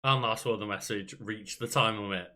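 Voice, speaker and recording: A man's voice: fairly low pitch, normal speed, pushed and bright. A young man from Merseyside, chatting casually as podcast co-host. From Merseyside, England. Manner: chatty, reassuring, filler-heavy. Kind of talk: casual chat.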